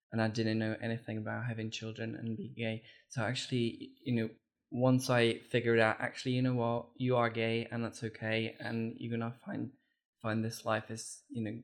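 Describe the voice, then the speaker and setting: A man in his thirties who grew up in the Republic speaks earnestly, often restarting his sentences. His voice is gravelly and sits on an even, flat line. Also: medium pitch. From Czech Republic. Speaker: podcast guest. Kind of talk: conversational storytelling.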